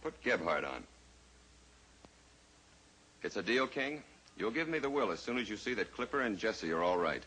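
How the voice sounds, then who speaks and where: An actor playing a suave, scheming villain in an old-time radio drama, mock-polite and deliberate. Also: male voice, lowish voice, big pitch swings, fairly bright tone, breathy edge, full character voice, rough recording. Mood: threatening, in control. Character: suave, menacing male villain, a scheming blackmailer. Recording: old radio recording with faint hiss.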